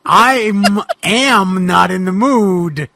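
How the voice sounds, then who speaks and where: A man playing an exasperated, brooding boogeyman detective in a loud, melodramatic dramatic reading. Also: sits high, vocal fry, heavy background noise, full character voice. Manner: loud, drawn-out, melodramatic delivery.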